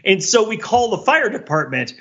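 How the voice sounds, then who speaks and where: A man speaking as a podcast panelist, telling stories casually in a rambling, animated way with playful, mock-grandiose phrasing. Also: high-pitched, moderate pace, gravelly, breathy edge, muffled recording. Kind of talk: casual chat, recollecting.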